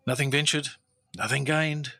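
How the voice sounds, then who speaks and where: An actor playing a shrewd, wry Victorian-era customs inspector in a radio detective drama, formal and measured as he talks and narrates his cases. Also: medium pitch, steady tone, gravelly, bright, forceful tone, no echo, studio quality. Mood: measured, confident.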